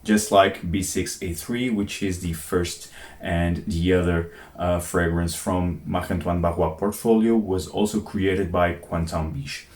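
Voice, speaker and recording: A man's voice: deep voice, steady tone, measured pace, a little mellow, echoey room. A man from Portugal, a fragrance reviewer, talking enthusiastically about one perfume in short bursts of descriptors. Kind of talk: guest segment in a video collaboration.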